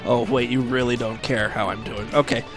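A man's voice: medium pitch, steady tone, a little fast, over music. A man hosting a comedy podcast, reading odd news stories and voicemails in a crude, mocking way and laughing at his own jokes. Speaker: podcast host.

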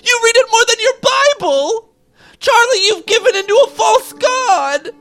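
A man voicing a shrill, outraged wife with a shrieking, wailing manner. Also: lively intonation, normal speed, fairly bright tone, soft background music, exaggerated voice. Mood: tearful outrage.

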